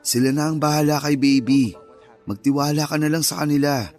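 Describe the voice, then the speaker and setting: A man playing a calm, reassuring young husband and new father, explaining things patiently in a radio drama. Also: fairly high pitch, fairly quick, faint music behind, close to an everyday voice.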